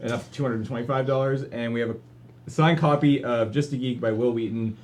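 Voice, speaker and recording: A man's voice: mid-range voice, quick pace, darkish tone. A man hosting a livestream with casual, ad-libbed chat and a wry, offhand manner. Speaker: livestream host. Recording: clearly noisy room ambience.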